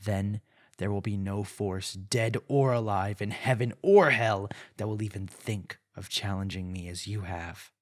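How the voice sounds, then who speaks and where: A man acting a deep, slow, sinister vampire lord. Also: no room sound, studio quality, lightly acted. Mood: smug, menacing. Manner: pompous, taunting, theatrical and deliberate. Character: sinister, arrogant, possessive male vampire lord. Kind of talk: villain acting in fantasy audio roleplay. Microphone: close, dry studio-style mic.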